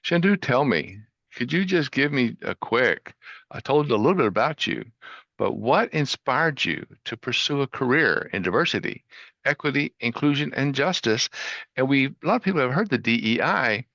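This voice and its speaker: Upbeat male host, gravelly and bright, on a dry, slightly dull video call line. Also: mid-range voice, lively intonation, normal speed, no echo. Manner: upbeat, enthusiastic. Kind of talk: online show introduction.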